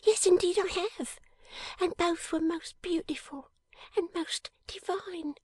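A woman voicing a small young dressmaker girl in a much higher, bright, eager voice, often half-whispered. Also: steady tone, slow pace, no echo. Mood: tender, eager. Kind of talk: audiobook character voice.

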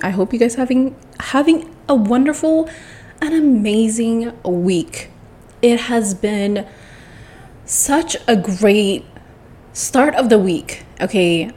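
A woman chatting casually and effusively to her followers, rambling with drawn-out emphatic words, her throat congested and cracking a little. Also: a little high, a little mellow. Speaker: vlogger addressing followers.

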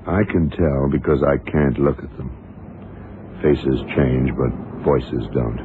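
Very deep-voiced, terse radio detective. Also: male voice, fairly even intonation. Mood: dry, assured. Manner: terse, deliberate, commanding. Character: shrewd, terse blind American investigator, calm and commanding. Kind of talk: 1960s-70s radio drama acting. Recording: noisy, tinny old radio broadcast.

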